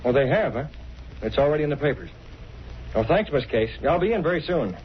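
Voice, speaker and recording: Man playing fast-talking shrewd radio hero. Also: on the high side, expressive, close to an everyday voice. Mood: shrewd, probing. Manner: brisk, probing, polite. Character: brisk, shrewd gentleman, inquisitive radio hero. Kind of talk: 1930s-40s radio drama acting. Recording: muffled, hissy vintage radio recording.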